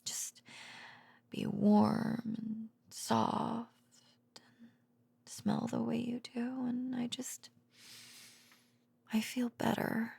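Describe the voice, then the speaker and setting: A woman playing an affectionate, drowsy girlfriend in an intimate bedtime audio roleplay, murmuring softly and hesitantly with drifts into whispering. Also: on the high side, little pitch movement, very slow, pauses often, heavily acted. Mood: loving, earnest. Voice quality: soft, breathy murmur dipping into whispers and creak. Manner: hesitant, rambling, giggly, tender. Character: affectionate, sleepy, bashful girlfriend, a researcher home from work. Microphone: close microphone, dry.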